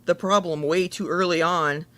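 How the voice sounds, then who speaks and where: Bright-voiced woman, casual podcast chat. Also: fairly low pitch, steady tone, normal speed, dry recording.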